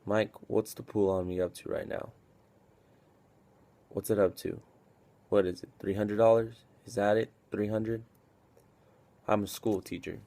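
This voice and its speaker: A man's voice: lowish voice, little pitch movement, a little slow, creaky, long pauses, mellow. A man playing a weary, reflective army captain in a film drama monologue, subdued, hesitant and confessional.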